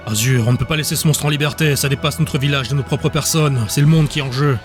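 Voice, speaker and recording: A man's voice: medium pitch, fast, faint music behind, natural voice. A man voicing a cold, insistent man who reasons solemnly in an audiobook drama. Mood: solemn insistence. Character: cold, persuasive man claiming he alone can save the world.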